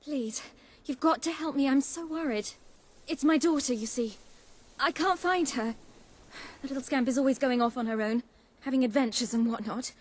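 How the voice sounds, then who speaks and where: A woman's voice: high voice, moderate pace. A woman playing an anxious, doting village mother in a fantasy game, fretful and emotional. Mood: frantic pleading.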